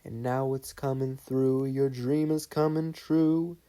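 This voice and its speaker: A story narrator voicing an older great-uncle who half-sings a slow, lilting karaoke song. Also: mid-range voice, steady tone, warm tone, close to an everyday voice. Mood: solemn, lilting. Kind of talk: slow half-sung delivery.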